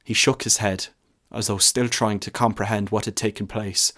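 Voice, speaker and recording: A man's voice: on the low side, fairly even intonation, normal speed, fairly bright tone. A man reading a short story as narrator, in scripted narration.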